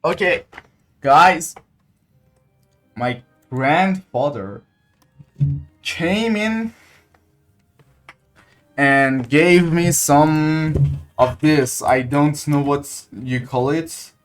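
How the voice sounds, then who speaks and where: Slow-talking game streamer, halting English speaker. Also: mid-range voice, faint music behind. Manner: halting, searching for words. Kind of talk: casual livestream chat over gameplay.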